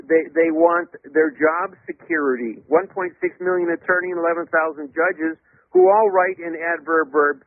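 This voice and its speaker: A man calling in as a radio guest, lecturing emphatically in a loud voice and spelling out words letter by letter. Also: fairly high pitch, a little hiss or hum. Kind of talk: radio call-in interview. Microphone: telephone. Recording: tinny phone line.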